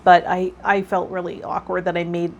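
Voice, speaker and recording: Low-pitched woman chatting, with background noise. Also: little pitch movement, normal speed, muffled recording. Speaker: host of a tarot vlog. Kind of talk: casual personal vlog chat.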